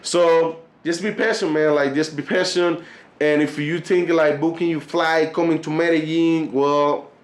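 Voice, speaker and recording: Colombian Spanish-accented male vlogger, slightly high voice, rambling direct-to-camera chat, roomy sound. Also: fairly even intonation, a little slow. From Cartagena, Colombia. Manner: repetitive, loose, calls viewers fellas. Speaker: vlogger and tour guide. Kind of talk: casual monologue to camera.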